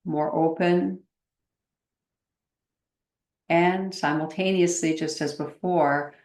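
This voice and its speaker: Slow, low-voiced woman guiding meditation. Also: steady tone, roomy sound. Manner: gentle, unhurried, with long pauses. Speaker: meditation teacher. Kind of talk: guided meditation.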